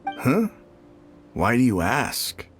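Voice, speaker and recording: A man's voice: medium pitch, wildly swooping pitch, very slow, heavily acted. A man voicing a chatty male academy clerk in a game, rambling and a little defensive. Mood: gossipy. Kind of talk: game dialogue. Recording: game audio under loud music. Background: game soundtrack.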